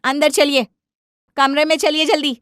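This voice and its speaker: Woman playing a feisty, high-voiced young wife. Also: level tone, normal speed, dry recording, studio quality. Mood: angry, commanding. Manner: sharp-tongued, insistent. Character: feisty, indignant young wife demanding equality. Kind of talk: dramatised domestic cartoon story.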